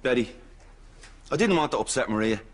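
A man's voice: mid-range voice, expressive, a little fast, natural voice. A man acting an earnest, put-upon grown son with an Irish accent in a studio sitcom. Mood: earnest, fed up.

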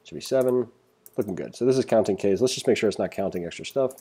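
A man's voice: lowish voice, animated, fast, dark tone, husky. A man giving a casual coding tutorial as an instructor, informal and self-deprecating, thinking aloud as he works. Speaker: programming instructor. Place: indoors at a computer, screen recording voice-over.

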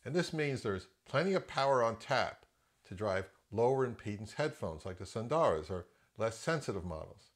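A middle-aged man with a fairly low voice talks through audio gear in a casual review. He is conversational and freely gives his opinions, as if chatting to viewers. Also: sing-song, moderate pace. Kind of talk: casual product review narration.

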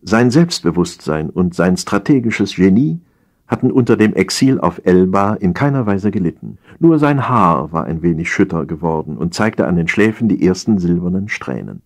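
Low, husky male narrator. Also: lively intonation, moderate pace, darkish tone. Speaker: audiobook narrator. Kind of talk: dramatized reading of satirical story.

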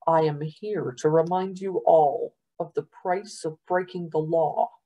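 A woman puts on a grave male governor for a storybook. The voice is smooth and mellow, weighing each phrase with pompous, condescending authority. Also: steady tone, lo-fi sound. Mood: solemn. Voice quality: deep, smooth. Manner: measured, pompous.

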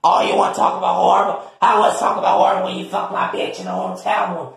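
Guy doing a raspy loud ratchet woman, combative and sweary, comedy skit, super echoey. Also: sits high, flat delivery, fast talker, full character voice. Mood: accusing. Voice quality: raspy, breathy and rough. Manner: brash, foul-mouthed, defensive. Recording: very echoey, reverberant room.

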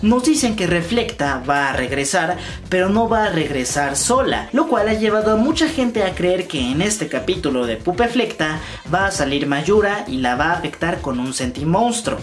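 A man, hosting a video of fan news and theory commentary, talking to camera in a small room. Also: high voice. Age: 20s. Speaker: YouTube host. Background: music.

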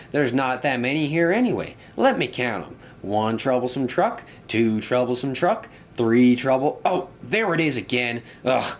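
A man's voice: mid-range voice, voice pushed up. A man voicing a proud, irritable steam engine in a children's story, grumbling and dismissive. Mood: exasperated. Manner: grumbling, exasperated.

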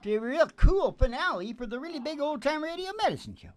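A man's voice: very high-pitched, sing-song, fast. A man hosting a live country music show, chatting casually and playfully between songs. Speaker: singer and show host. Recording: live music performance with guitar. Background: acoustic guitar.

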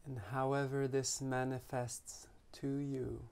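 Male meditation teacher, gentle delivery, flat intonation, frequent vocal fry, long unhurried pauses. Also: mid-range voice. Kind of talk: guided meditation.

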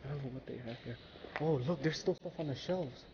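A man's voice: a little high, fairly quick. A man exploring a derelict building with a handheld camera, muttering casual, profane remarks as he goes. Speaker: urban explorer filming. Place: inside a derelict industrial building. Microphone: handheld or body-worn action camera. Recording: muffled, very noisy action-camera audio.

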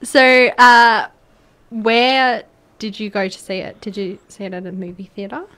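A woman's voice: a little high, little pitch movement, fairly bright tone. A woman with an Australian accent on a radio chat show, asking questions in a chatty way with many fillers and restarts. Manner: chatty, lots of fillers and restarts, quick to laugh. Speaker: guest interviewer asking questions. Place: community radio studio.

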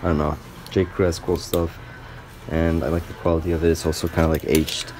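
A man's voice: low-pitched, little pitch movement, brisk. A young man talking casually to the camera in a rambling, slangy vlog style, saying "like" often. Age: young adult. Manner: rambling, loose and slangy, with frequent "like". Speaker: vlogger. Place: parked car, later small store fitting room. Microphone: camera or phone at arm's length.

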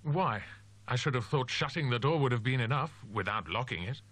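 A male narrator voicing a calm, dependable young male medical student who speaks bluntly and skeptically. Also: medium pitch, a little fast, no room sound, voice pushed up. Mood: probing, wary.

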